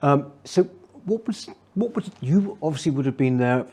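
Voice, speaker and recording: Mellow-voiced older male interviewer. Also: on the high side, expressive, moderate pace, breathy edge. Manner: hesitant, many restarts and affirmations. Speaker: interview host.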